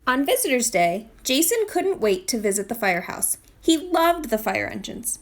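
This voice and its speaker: A woman reading a children's picture book aloud in an expressive storytime style. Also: a little high, moderate pace. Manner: expressive read-aloud delivery. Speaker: storytime reader, literacy program manager.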